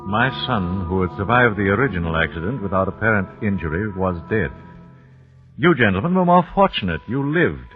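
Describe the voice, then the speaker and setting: A grim narrating father, tinny old radio. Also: on the low side. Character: grim father narrating his son's death. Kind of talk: 1940s radio drama narration.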